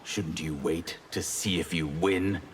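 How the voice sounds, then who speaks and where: A man's voice: low-pitched, fairly even intonation, a little slow. A man playing a grave, imperious mage who talks formally, in video game fight banter. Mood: haughty. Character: haughty disgraced male water mage, grave and commanding. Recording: reverberant hall with faint background noise.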